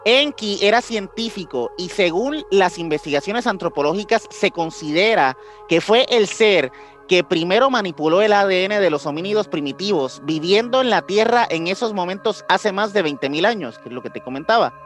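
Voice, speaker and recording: A man's voice: high-pitched, animated, moderate pace, fairly bright tone. A man with a Latin American Spanish accent co-hosting a podcast, explaining things casually with slang and frequent tag questions. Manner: colloquial slang, seeks agreement with tag questions. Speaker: podcast co-host. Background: music.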